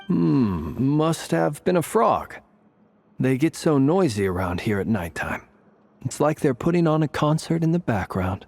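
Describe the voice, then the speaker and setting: A man playing a wry, unflappable lighthouse guardian in a video game, suave and unhurried. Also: on the high side, big pitch swings, creaky, a little mellow, exaggerated voice. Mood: teasing.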